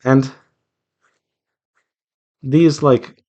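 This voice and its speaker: A man's voice: mid-range voice, fairly even intonation, drawn-out words, dark tone, highs cut off. A man chatting casually to viewers as he works, thinking aloud in a rambling, self-reassuring way. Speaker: visual novel developer hosting a draw-along devlog. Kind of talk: casual solo chat while drawing.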